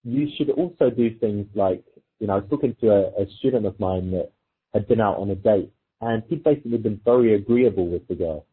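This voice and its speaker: Tinny phone-quality audio, guy with a really husky voice doing casual coaching advice, explains with little examples. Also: fairly low pitch, fairly quick. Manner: informal, explanatory, illustrates points with quoted exchanges. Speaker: dating coach. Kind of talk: conversational advice with acted-out example dialogue. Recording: muffled phone-like audio, thin and lo-fi.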